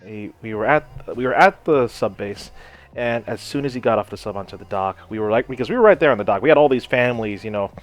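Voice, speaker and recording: A man's voice: medium pitch, animated, fast talker. A young man from Hawaii casually telling a personal story over gameplay, rambling with lots of "you know" and "like" and some swearing. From Hawaii, United States. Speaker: gaming channel host.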